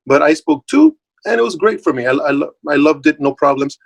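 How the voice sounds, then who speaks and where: A man's voice: a little high, lively intonation, fairly quick, creaky. A man from Puerto Rico whose first language is Spanish, giving an informal talk over a video call in a friendly, loose way. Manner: friendly, loose, many fillers and restarts. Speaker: guest speaker. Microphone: online video call.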